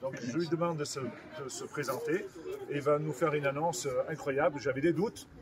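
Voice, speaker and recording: A man's voice: a little high, normal speed, a loud crowd. A man, a farmer speaking colloquially and earnestly as he hosts an informal live interview. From France.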